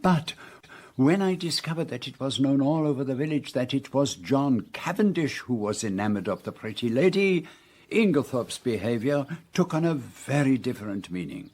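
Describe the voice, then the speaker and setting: A man playing a shrewd, theatrical Belgian detective in a radio drama, explaining deliberately and precisely in a Belgian French accent. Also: mid-range voice, animated, a little mellow, close to an everyday voice. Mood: explaining, assured.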